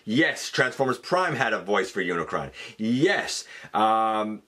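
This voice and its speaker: Animated man hosting a fan countdown. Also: lowish voice, slow pace. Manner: enthusiastic, emphatic fan talk. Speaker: host of a fan countdown show. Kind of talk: casual countdown commentary.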